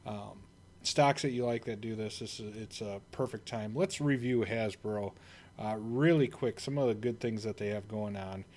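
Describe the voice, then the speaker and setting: A man's voice: on the low side, moderate pace, darkish tone. A man who hosts an investing channel, talking loosely through a stock he owns, with plenty of fillers and restarts. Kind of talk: casual stock commentary over screen recording.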